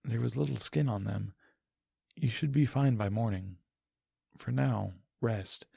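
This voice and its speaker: A man voicing an ancient, regal female pony princess in an audiobook, with formal, measured, gentle and patient speech. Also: medium pitch, gravelly, breathy edge, dry recording, clean studio sound, exaggerated voice. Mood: calm, caring. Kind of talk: dramatic audiobook character voice.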